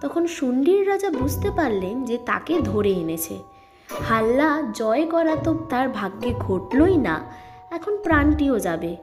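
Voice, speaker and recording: High-voiced woman storyteller over music. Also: big pitch swings, unhurried. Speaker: story narrator. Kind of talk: expressive scripted story narration. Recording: loud music behind the narration.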